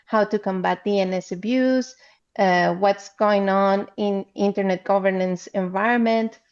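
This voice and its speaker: A woman from Guatemala with a Guatemalan Spanish accent, presenting remotely at a conference in a halting, explanatory way. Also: medium pitch, fairly even intonation, a little mellow. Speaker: council chair speaking as panelist. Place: remote, on a video call. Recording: slightly dull video-call audio.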